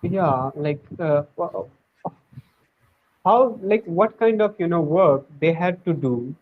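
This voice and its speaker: A man's voice: on the high side, animated, slow pace, a little hiss or hum. A man practicing English on a video call, searching for words with many fillers and restarts. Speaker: English learner. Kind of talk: casual video-call conversation. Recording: tinny phone-like line.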